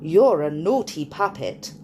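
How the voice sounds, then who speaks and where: A woman's voice: low-pitched, big pitch swings, slow, dark tone, a little hiss or hum, pitched below the performer's own voice, heavily acted. A woman voicing a small, wise green cricket who firmly scolds a naughty puppet in a storybook reading. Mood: scolding. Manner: firm, scolding.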